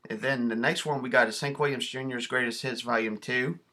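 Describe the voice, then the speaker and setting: Bright-voiced man, casual vlogger. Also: medium pitch, fairly even intonation, fairly quick. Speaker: video host showing a cassette collection. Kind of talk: casual show-and-tell vlog.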